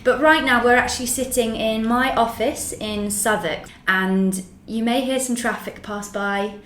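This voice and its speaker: A woman's voice: on the high side, a little slow. Chatty woman in an echoey office. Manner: chatty, lively and joking. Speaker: podcast co-host. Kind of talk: casual chat. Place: small echoey office by a street. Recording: echoey room with faint street noise.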